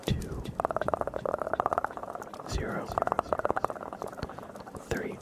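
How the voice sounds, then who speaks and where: A man hosting an ASMR livestream, speaking softly and casually to viewers in a gentle, rambling, hesitant way. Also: very slow, very noisy. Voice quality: soft, breathy whispering with creaky low undertone. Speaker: livestream host.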